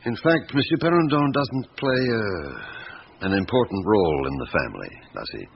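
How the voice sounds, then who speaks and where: A shrewd middle-aged police inspector in a radio drama. He has a very low British voice with a strongly varied melody, probing bluntly between hesitant pauses. The sound is slightly muffled. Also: very animated, creaky. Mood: musing, patient. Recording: muffled radio recording with background hiss.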